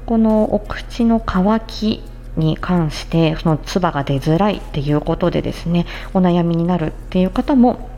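A woman with a fairly low, very mellow voice gives a casual health explainer. She talks conversationally and addresses her listeners directly as a podcast host. The sound is a little muffled and lo-fi. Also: a little hiss or hum. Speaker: podcast host, speech-language therapist.

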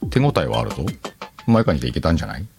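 Male host, very low register with animated intonation, informal self-mocking banter while reading letters over a clear music bed. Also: very fast. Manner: laughs often, talks to himself, self-deprecating banter. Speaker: host of an audio show. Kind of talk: casual chatty solo radio talk, reading listener letters.

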